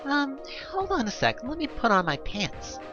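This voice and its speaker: A man's voice: extremely high pitch, huge pitch swings, moderate pace, dull audio, music in the background, voice pushed up, full character voice. A man voicing a casual young female heroine in an audio drama. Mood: awkward. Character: young woman heroine, casual and a little needy.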